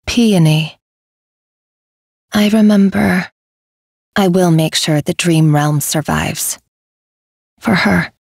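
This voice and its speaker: A woman playing a somber, devoted nightmare fairy in a video game, low and creaky with a slow, brooding manner. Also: a little mellow, no echo, clean studio sound, full character voice. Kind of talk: video game character voice acting.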